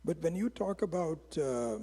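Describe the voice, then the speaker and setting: A man whose first language is Hindi, giving an informal spiritual lecture on Vedanta in a reflective, unhurried way, with frequent pauses and restarts. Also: fairly high pitch, animated, mellow, breathy edge, in a large hall. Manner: reflective and unhurried, pausing often with restarts and fillers. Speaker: Vedanta teacher.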